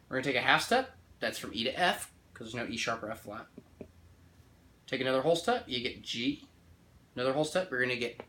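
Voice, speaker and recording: A man's voice: medium pitch, pushed and bright, a little hiss or hum. Easygoing man teaching music theory. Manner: easygoing, often checks in with "right?"; restarts and corrects himself mid-count. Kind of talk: casual guitar and music theory lesson. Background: guitar playing along.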